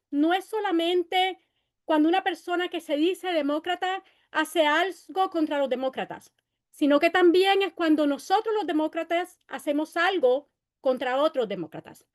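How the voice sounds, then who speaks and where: High-pitched woman in her fifties rallying. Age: 50s. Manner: impassioned, rallying, repeats phrases; switches between Spanish and English. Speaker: city council candidate. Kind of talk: campaign speech at a meeting.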